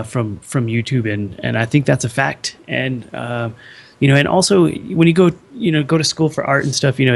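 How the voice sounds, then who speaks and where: Male artist guest, gravelly mid-range voice, earnest and encouraging, heavy on "you know". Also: medium pitch. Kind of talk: casual podcast advice.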